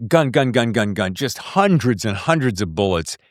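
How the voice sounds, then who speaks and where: Animated, low-voiced man hosting a podcast. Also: moderate pace, no echo, clean studio sound. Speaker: podcast host. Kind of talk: casual conversational interviewing.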